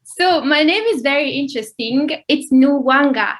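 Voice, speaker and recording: Namibian woman, unhurried guest. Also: high-pitched. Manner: relaxed, explains with pauses and laughs. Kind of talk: casual interview conversation.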